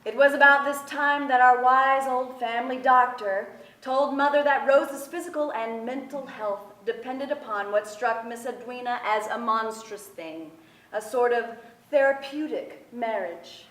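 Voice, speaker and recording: A young woman giving a measured, articulate dramatic reading of a memoir on stage. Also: on the high side, fairly bright tone. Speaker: stage actress, reader. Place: seated on stage in a theater. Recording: echoey theater stage.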